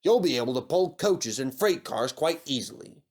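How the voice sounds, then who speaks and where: A genial railway boss, read by a man speaks with lively pitch movement. He is in charge yet reassuring, explaining things plainly in children's storybook style. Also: normal speed, lightly acted.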